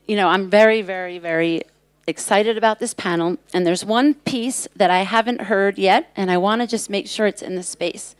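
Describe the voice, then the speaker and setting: A woman's voice: medium pitch, clean tone, no echo. A woman who runs children's workshops, speaking earnestly and emphatically on a conference panel. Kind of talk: panel talk. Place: conference stage.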